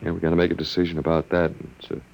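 An actor playing an earnest, gently wry last man on earth, halting and coaxing, in old radio drama style. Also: sits low, little pitch movement, creaky. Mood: earnest, musing. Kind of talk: 1950s radio drama acting. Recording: noisy vintage radio drama recording.

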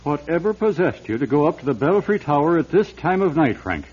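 Husky, lively bishop. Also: male voice, a little high, fairly quick. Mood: wry, reassuring. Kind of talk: old-time radio drama acting. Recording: dull old radio recording.

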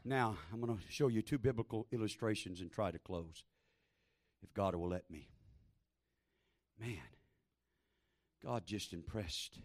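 A man preaching a fervent sermon in a small church, repeating phrases and building to shouted exhortation over a handheld microphone. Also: fairly low pitch, a little slow, pauses often. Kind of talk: Pentecostal-style sermon.

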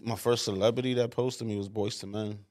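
A man's voice: lowish voice, fairly even intonation, a little fast, darkish tone. A man guesting on a podcast, talking in a laid-back way with loose slang. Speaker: comedian guest. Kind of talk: casual interview chat.

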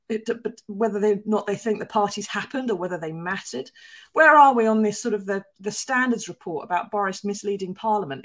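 A woman co-hosting a news podcast, telling stories in a chatty, wry way. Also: mid-range voice. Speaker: podcast co-host, newspaper columnist. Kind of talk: casual news chat.

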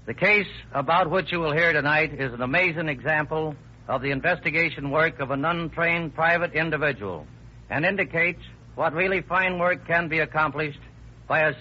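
Husky police captain, formal radio address. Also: male voice, fairly high pitch. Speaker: police captain, guest introducer. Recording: muffled 1930s radio recording.

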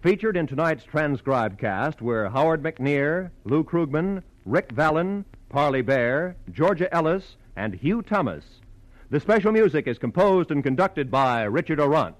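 A man announcing in formal, scripted 1940s radio style with measured phrasing. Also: a little high, big pitch swings, rough recording.

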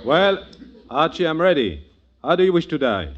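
A man's voice: fairly high pitch, very animated, moderate pace, dull audio, a crowd in the background. An actor playing an indignant, formal Frenchman with a French accent, earnest about his honor, in a 1940s radio comedy. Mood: stern challenging. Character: indignant, honor-bound Frenchman demanding a duel. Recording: noisy old radio recording with clicks.

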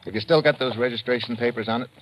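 An actor playing a brisk, tough, wry American investigator in an old radio drama. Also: male voice, mid-range voice, slight background noise, close to an everyday voice. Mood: probing, urgent. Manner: brisk, informal, clipped. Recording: muffled old radio recording, loud music.

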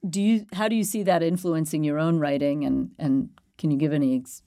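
An engaged interviewer with a gravelly, mellow voice asks careful follow-up questions and keeps murmuring agreement. The sound is dry and close, with no room echo. The pitch sits in the middle of the range. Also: fairly even intonation, a little fast. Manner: engaged, frequent affirming backchannels. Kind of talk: conversational interview.

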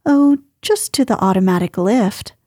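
A woman's voice: medium pitch, animated, normal speed, mellow, slightly rough, dry recording, studio quality. A woman voicing a cheeky, mischievous teenage girl who talks in a playful, teasing way.

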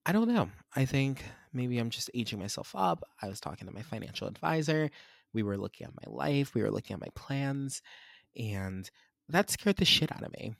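A man's voice: mid-range voice, animated, moderate pace, gravelly, a bit bright, no echo, clean studio sound. A man, hosting a solo podcast and reflecting in a candid, rambling, self-searching way. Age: 20s. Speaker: podcast host.

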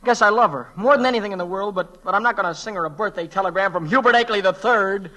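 A lovesick teenage telegram boy in an old 1940s radio play. His voice is high and sharp, and he blurts things out in halting, boyish slang, earnest and quick to bristle. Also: rough recording. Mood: lovesick, sulky. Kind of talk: 1940s radio drama acting. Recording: vintage 1940s radio recording.

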